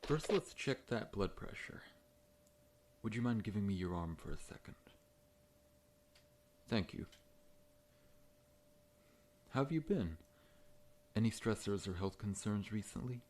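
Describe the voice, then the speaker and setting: A man playing a large, friendly scorpion monster dentist in an ASMR roleplay, talking in a gentle, reassuring, professional way. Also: on the low side, lively intonation, unhurried, pauses often. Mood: polite, welcoming.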